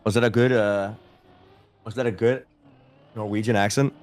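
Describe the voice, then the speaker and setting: A man's voice: lowish voice, fairly even intonation, moderate pace. A man from Denmark, a streamer, chatting casually with his viewers, answering their questions aloud and poking fun at himself.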